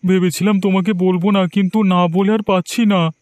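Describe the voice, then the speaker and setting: A man's voice: high-pitched, steady tone, fairly quick, darkish tone, no room sound, highs cut off, lightly acted. A man voicing a poor, humble village husband in a cartoon story, hesitant and pleading, with music under him. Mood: grateful.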